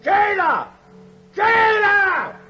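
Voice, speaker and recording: An actor playing a wily condemned thief, wheedling and scheming in a raspy voice, in a 1950s radio drama. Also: male voice, extremely high pitch, lively intonation, extremely slow, raised voice, over loud music, full character voice. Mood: desperate yelling. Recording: muffled vintage 1950s radio recording.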